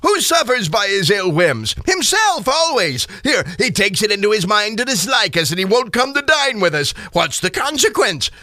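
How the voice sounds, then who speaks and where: A man playing a good-natured young gentleman, hearty and exuberant, in an audio drama. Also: high-pitched, sing-song, normal speed, few pauses, dry recording, studio quality, pitched above the performer's own voice, exaggerated voice. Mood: merry, forgiving.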